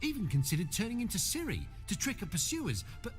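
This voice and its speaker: An actor playing an anxious, hesitant shapeshifter in a video game, fretful and full of questions. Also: male voice, high voice, wildly swooping pitch, fairly quick. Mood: rueful. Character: anxious, hesitant male shapeshifter, meek and excitable. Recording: reverberant, with music behind.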